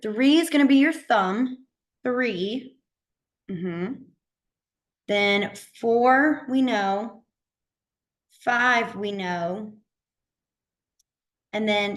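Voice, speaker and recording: A woman whose voice is hoarse and half gone. She teaches a beginner class online over a computer mic, chatty and encouraging, with plenty of fillers. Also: mid-range voice. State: hoarse, partly lost voice. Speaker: sign language teacher.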